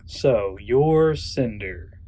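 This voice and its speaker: An actor in an audio drama playing a defiant hero with a sarcastic, incredulous manner. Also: male voice, mid-range voice, animated, drawn-out words, warm tone.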